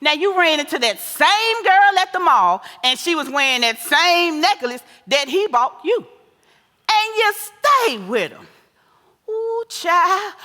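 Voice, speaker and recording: Woman playing a sassy American mama, high and animated. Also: full character voice. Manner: animated, folksy, preachy. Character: sassy, scolding, wise older mother advising her married daughter. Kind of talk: theatrical stage-play acting. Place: live stage in a theater. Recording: echoey theater hall with long reverb.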